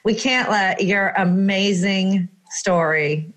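A woman's voice: medium pitch, takes it slowly, a bit bright. A woman hosting an interview, speaking in a gushing, enthusiastic way and laughing easily. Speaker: host. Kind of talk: casual interview.

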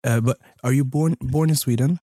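A man's voice: mid-range voice, normal speed, mellow, no room sound, studio quality. A man hosting an interview, supportive and often finishing his guest's sentences. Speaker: podcast host. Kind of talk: conversational interview.

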